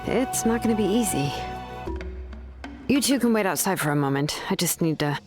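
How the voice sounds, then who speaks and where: A woman voicing a calm, bookish craftswoman in a video game, explaining things in a measured, scripted way. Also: mid-range voice, faint music behind, lightly acted. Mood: matter-of-fact. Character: calm, bookish adult craftswoman who forges ancient names.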